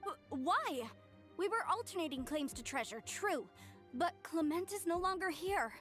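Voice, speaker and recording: Earnest young female devotee, high pitch, impassioned, formal, video game character. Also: animated, unhurried, a bit bright, soft background music, heavily acted. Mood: desperate, defiant. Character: earnest, zealous young woman devoted to fallen god. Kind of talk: English game voice acting.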